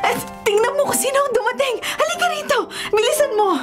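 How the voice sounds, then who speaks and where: High-pitched, bustling Filipina. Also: female voice, fast. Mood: excited. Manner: exclaiming, urging. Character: excitable, bustling woman in a radio drama. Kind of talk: Filipino radio drama acting. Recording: music behind the voice.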